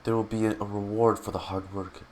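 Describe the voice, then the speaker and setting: Very deep-voiced man streaming a game. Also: level tone, fairly quick, darkish tone, slight background noise. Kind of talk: reading game text aloud and reacting.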